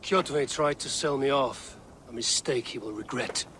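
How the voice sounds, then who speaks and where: A man's voice: mid-range voice, unhurried, fairly bright tone, slight background noise, close to an everyday voice. A man playing a bold, defiant Viking raider leader in a video game cutscene, speaking in terse commands. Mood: confident, resolute. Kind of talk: cinematic video game acting.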